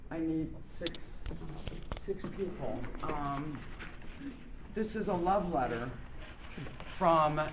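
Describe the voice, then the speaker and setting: Slow, halting American woman in noisy room. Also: low voice, animated. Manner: halting, hesitant. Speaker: resident giving public comment. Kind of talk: unscripted public address. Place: indoor public meeting room. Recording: noisy meeting room ambience.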